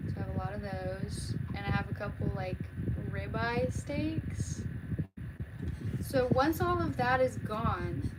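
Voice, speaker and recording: A woman's voice: medium pitch, slow, heavy background noise. An American woman chatting casually on a livestream in an informal, rambling way, often trailing off. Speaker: livestreamer, read-aloud host. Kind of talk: casual livestream chat with viewers.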